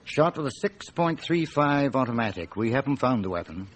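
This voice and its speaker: A man's voice: medium pitch, big pitch swings, moderate pace, slight background noise, close to an everyday voice. A man acting a local police inspector in a radio drama, with a mid-range voice. He is obliging and talkative, laying out details like a guide with slight hesitation. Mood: obliging, explanatory.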